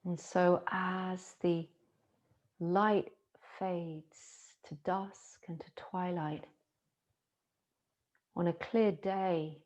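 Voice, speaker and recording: A woman with a Scottish accent, a yoga teacher softly and slowly guiding a yoga nidra relaxation with long pauses. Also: fairly low pitch, steady tone, dull audio. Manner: soft, slow and soothing, with long pauses. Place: her home in the city. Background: singing bowls and chimes.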